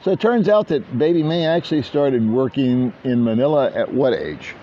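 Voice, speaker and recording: A man's voice: medium pitch, animated, moderate pace, dark tone, a little hiss or hum. An older man chatting casually to camera at a beach resort, rambling and self-deprecating. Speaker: vlogger. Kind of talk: casual vlog chat. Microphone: handheld small camera. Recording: dull lo-fi outdoor audio.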